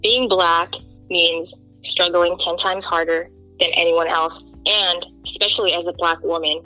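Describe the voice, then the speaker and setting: Earnest young woman, bright forceful voice. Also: fairly low pitch, measured pace, faint music behind, rough recording. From Kenya. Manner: earnest, emphatic, animated when quoting others. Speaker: guest. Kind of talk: candid interview talk.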